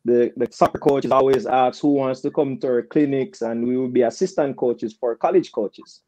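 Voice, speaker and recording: A Jamaican-accented man speaks casually as a guest coach on a video call. His voice sits at a middle pitch in a very dry sound, and he tells anecdotes, acting out dialogue and often checking in with "right?". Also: moderate pace, no room sound, low-quality recording. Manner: animated retelling with quoted dialogue, frequent "right?" tags.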